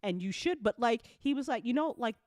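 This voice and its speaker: Very lively, chatty woman host. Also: a little high, a little fast, no room sound, studio quality. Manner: animated storytelling with acted-out quotes and casual swearing. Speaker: show writer and voice actor hosting an after-show. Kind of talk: casual chat. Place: online group voice call.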